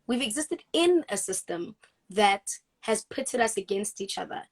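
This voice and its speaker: A woman's voice: medium pitch, expressive, unhurried. A woman from Africa, an actress and guest, talking earnestly and reflectively in a casual video-call interview, with frequent restarts.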